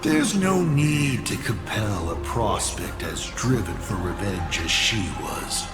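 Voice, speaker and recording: A man's voice: lowish voice, big pitch swings, reverberant, music in the background. A man playing a smug, playful scheming villain in a video game, with a theatrical, teasing delivery. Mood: sly, amused. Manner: theatrical, teasing, drawn-out delivery with big swoops.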